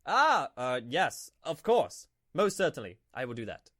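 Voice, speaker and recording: A man's voice: mid-range voice, sing-song, measured pace, fairly bright tone. A man playing an obsequious, nervous Imperial officer in a Minecraft roleplay, flustered and over-polite. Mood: fawning. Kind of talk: hobby Minecraft roleplay acting.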